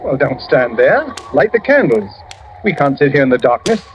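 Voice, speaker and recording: An actor playing a cool, courteous titled gentleman host in an old radio drama, polite and composed. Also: medium pitch, sing-song, close to an everyday voice. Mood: cool, feigned concern. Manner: polite, composed, measured, understated. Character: cool, courteous English knight hosting a dinner. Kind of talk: 1940s radio acting. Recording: noisy, dull old radio recording with clicks.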